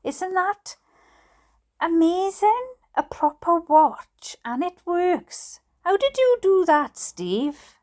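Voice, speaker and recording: A woman's voice: on the high side, big pitch swings, a little slow. Woman voicing an eager, easily amazed Welsh dad. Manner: eager, chatty. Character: enthusiastic, easily fascinated dad, cheerfully oblivious.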